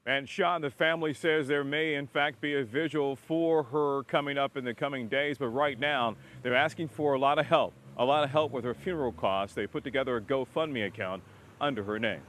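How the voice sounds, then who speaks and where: A man's voice: mid-range voice, brisk, a bit bright. A man with an American accent delivering a scripted television news report.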